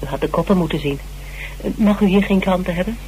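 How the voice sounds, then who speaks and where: A man's voice: high voice, fast talker, darkish tone, natural voice, poor audio. An actor playing an eager, fawning male criminology lecturer who hesitates and repeats himself in a radio drama. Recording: hissy old radio recording.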